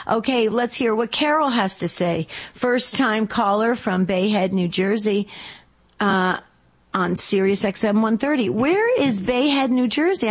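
A woman with a slightly scratchy voice hosting a radio call-in show in a chatty, casual, effusive way. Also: mid-range voice.

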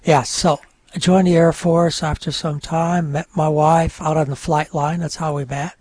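An older man whose first language is French, telling his life story in a relaxed, rambling interview with many fillers. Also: a little high, moderate pace, no room sound. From North Country of New York. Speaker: guest.

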